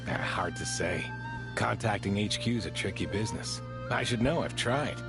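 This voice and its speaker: An actor playing a weary, dutiful sergeant major in a video game, frank and matter-of-fact. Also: male voice, on the low side, animated, normal speed, music in the background. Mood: frustrated.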